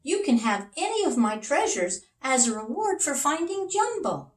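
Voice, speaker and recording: Give a high, animated storybook king as played by a woman reading aloud, emotional and earnest. The voice exclaims and swoops, sounding a little distant in an echoing room. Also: moderate pace. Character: excitable, emotional, childlike king, adult man. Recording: roomy echo, away from mic.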